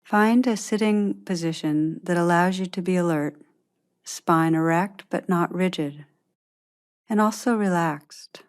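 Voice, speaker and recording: A woman guiding a meditation in a gentle, unhurried, soothing manner, giving calm instructions with long pauses. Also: lowish voice. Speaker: meditation teacher guiding a practice. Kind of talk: guided meditation, scripted instruction.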